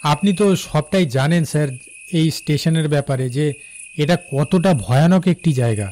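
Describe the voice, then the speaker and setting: Man playing friendly railway worker storyteller, West Bengal Bengali accent, fairly high pitch, soft dark timbre, animated tale. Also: normal speed, slight background noise, natural voice. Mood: uneasy, pleading. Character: friendly older-brother storyteller, a railway employee. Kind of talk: dramatized cartoon dialogue and storytelling. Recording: crickets and rain behind.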